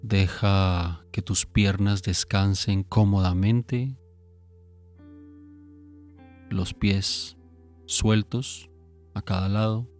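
A man narrating a guided sleep meditation in a calm, soothing manner, giving unhurried instructions with long pauses. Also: lowish voice, faint music behind.

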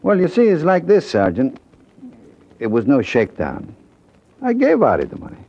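A man's voice: on the high side, huge pitch swings, moderate pace, a little mellow, breathy edge, heavily acted. An actor playing a smug, slippery male racketeer, oily and taunting, in an old-time radio drama. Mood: mock innocent. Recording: dull vintage radio recording.

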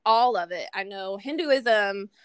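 A woman's voice: mid-range voice, expressive, slow, smooth, dry recording. A young American woman chatting casually as a podcast host, laughing often and poking fun at herself. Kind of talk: casual chat.

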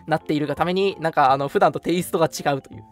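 A man's voice: on the high side, quick pace, soft background music. A young man hosting a casual radio chat, speaking in a polite presenter's way and often breaking into laughter. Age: young adult. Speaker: radio show host.

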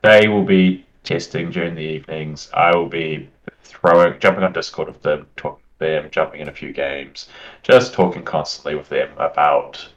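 A man with a New Zealand accent talks in a chatty, rambling way as a guest on a casual interview show. His voice sits very low. The audio is dull and lo-fi. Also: fairly bright tone. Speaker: guest, tournament winner.

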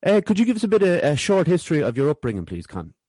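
A man's voice: a little high, wildly swooping pitch, fast, mellow, dry recording. An Irish man chatting casually as the host of a podcast interview.

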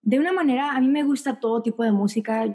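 A woman's voice: a little high, fast talker, a little mellow, slightly rough. A young woman singer from the Tijuana–San Diego border, answering interview questions in a thoughtful, rambling way with bits of English. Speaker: singer, guest.

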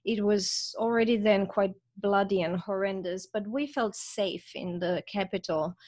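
A woman's voice: mid-range voice, a little mellow. A woman in her forties from Croatia speaks with a Croatian accent and a mid-range voice. She tells her own story conversationally, as an interview guest, repeating words for emphasis. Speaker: podcast guest, author.

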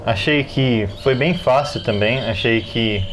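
A man's voice: mid-range voice, measured pace, a bit bright. A man with a Brazilian accent giving casual opinions as a player on a tabletop gaming podcast, over faint background noise. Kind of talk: casual chat about tabletop games.

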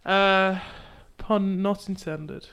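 Smooth-voiced young woman hosting a chat. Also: medium pitch, fairly even intonation, extremely slow, a bit bright. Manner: chatty, laughs easily, leads the conversation. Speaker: podcast host and youth ministry leader. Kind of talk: casual chat.

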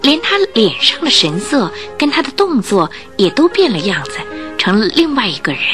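A woman narrates a radio drama in a storytelling style. Her mid-pitched voice rises and falls expressively, with music clearly heard underneath. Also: sing-song, moderate pace, fairly bright tone.

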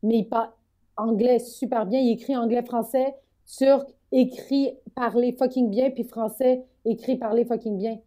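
High, mellow-voiced Montreal woman telling lively stories. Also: moderate pace. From Montreal, Quebec. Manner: animated storyteller, swears casually. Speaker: podcast co-host. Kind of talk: casual chat.

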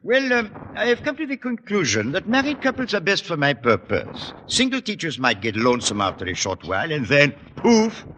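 A man acting a courtly, effusive French gentleman with a French accent in an old radio sitcom. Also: on the high side, huge pitch swings, normal speed, hardly any pauses, in a large hall, exaggerated voice. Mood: explaining. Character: excitable, gallant Frenchman recruiting teachers for Paris. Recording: old radio comedy recording, noisy.

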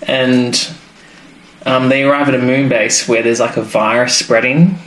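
A man's voice: medium pitch, steady tone, unhurried. A man co-hosting a podcast, chatting casually and rambling as he trails off mid-thought. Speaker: podcast co-host. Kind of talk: casual chat reviewing a TV serial. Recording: echoey room with background noise.

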